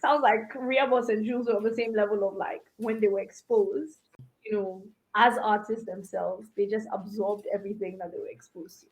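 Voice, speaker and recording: A woman from Ghana with an American accent, chatting casually as a podcast cohost in a lively way, with lots of "like.". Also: medium pitch, moderate pace, poor audio. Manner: animated, laughing easily, lots of "like". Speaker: podcast cohost and music maker.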